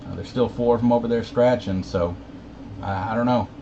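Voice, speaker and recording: A man's voice: mid-range voice, brisk. A man in casual conversation, transmitting over a CB radio. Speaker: CB radio operator. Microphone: CB radio microphone, heard through receiver. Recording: muffled CB radio reception with static.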